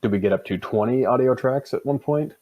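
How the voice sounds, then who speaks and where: A man's voice: mid-range voice, a little fast, dull audio. A man who programs games, chatting casually about technical work in an interview. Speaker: game programmer.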